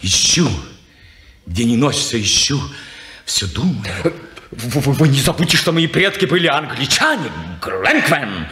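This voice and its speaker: A petty, boastful clerk in a vintage radio play. He talks slowly and sarcastically, muttering under his breath between bursts. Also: mid-range voice, sing-song, bright, forceful tone, echoey room. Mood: scheming. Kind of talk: 1950s radio drama acting.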